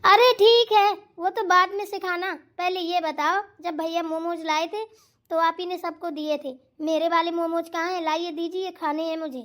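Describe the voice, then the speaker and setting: A woman's voice: very high voice, steady tone, moderate pace, fairly bright tone. A woman playing a demanding, quarrelsome young sister-in-law who complains insistently in a family comedy skit. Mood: indignant.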